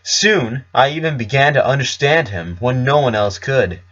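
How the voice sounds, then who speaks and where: A man reads a scripted tale as its narrator. His pitch is middling and the delivery is that of storybook reading. The recording sounds a little dull and lo-fi. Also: animated, normal speed, fairly bright tone. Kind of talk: storybook-style fan fiction reading.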